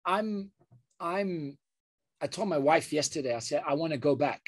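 Earnest male surgeon, interview guest, halting delivery with frequent restarts. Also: fairly high pitch, lively intonation. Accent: British. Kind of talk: interview conversation.

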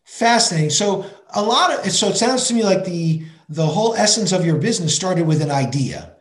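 Man, podcast host, friendly, inquisitive, higher voice, roomy echo. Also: animated, brisk. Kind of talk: podcast interview.

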